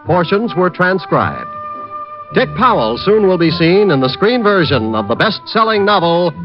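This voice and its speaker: A man's voice: high-pitched, big pitch swings, normal speed, over music. A male radio announcer reading the closing credits and program notes in a formal manner. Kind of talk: scripted show announcement. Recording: dull lo-fi 1950s radio broadcast.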